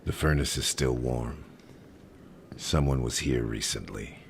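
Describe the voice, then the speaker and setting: An actor playing a grim, terse masked male vigilante with a deep gravelly voice in a dramatic audio roleplay. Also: background noise, exaggerated voice. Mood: terse, investigative. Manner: terse, slow, brooding, commanding.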